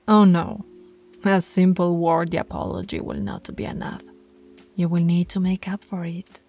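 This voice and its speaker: A woman playing a dominant, teasing female alpha werewolf pack leader in an ASMR roleplay, commanding and often slipping into a breathy whisper. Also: lowish voice, big pitch swings, moderate pace, darkish tone, soft background music. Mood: stern, bossy.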